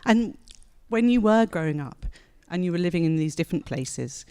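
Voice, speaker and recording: A woman's voice: lowish voice, animated, moderate pace, darkish tone, clear, resonant tone. A woman journalist interviewing a guest onstage before an audience, friendly and polite, with fillers. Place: event hall before an audience.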